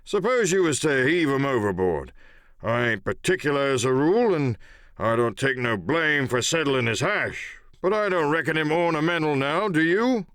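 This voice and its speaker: A man voicing a sly, wounded old pirate coxswain in an audiobook, with wheedling, halting, talk. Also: on the high side, lively intonation, fairly bright tone, no room sound, clean studio sound, pitched above the performer's own voice. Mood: grim, wheedling.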